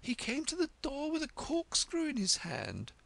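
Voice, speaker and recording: A storybook reader voicing a gentle, muddled queen who rambles nervously. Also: sits high, huge pitch swings, normal speed, creaky, dry recording, voice pushed up, heavily acted. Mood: anxious.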